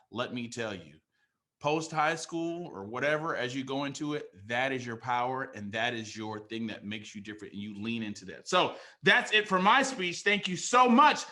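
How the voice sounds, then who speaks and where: Enthusiastic young male emcee, bright tone. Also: medium pitch. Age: young adult. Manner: enthusiastic, bursts of shouting and laughing, casual slang. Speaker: event host and teacher. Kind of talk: energetic off-the-cuff remarks.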